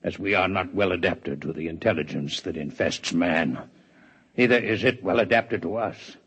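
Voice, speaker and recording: An actor playing a proud, formal alien male scientist in a 1950s radio drama, with measured, precise diction. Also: deep voice, a bit bright, slightly rough, exaggerated voice. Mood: grave. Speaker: alien doctor in a radio play. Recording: dull vintage radio recording.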